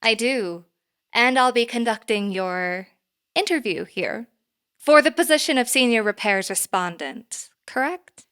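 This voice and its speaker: A businesslike woman manager in an audio drama. She has a slightly raised pitch and a poised, courteous manner. Her phrasing is formal and measured. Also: animated, fairly bright tone, clear, resonant tone. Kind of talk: naturalistic audio drama acting.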